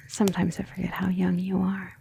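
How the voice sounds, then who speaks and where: A woman playing a gentle but firm demon tutor in an ASMR roleplay, speaking in a soothing, measured and formal way. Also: on the low side, monotone, gravelly, very noisy. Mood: tender, soothing.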